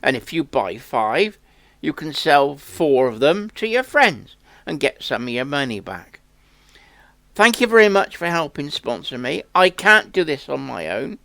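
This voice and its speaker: A man's voice: fairly high pitch, sing-song, measured pace, creaky, pushed and bright. A man hosting a country sports channel, making an earnest, plain-spoken campaign appeal to viewers. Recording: echoey room.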